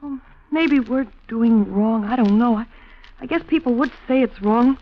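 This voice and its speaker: A woman's voice: on the high side, normal speed, lightly acted. A woman playing a devoted, clinging young American sweetheart of a thief in 1940s radio drama, breathless, pleading and emotional. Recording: muffled old 1940s radio recording with music.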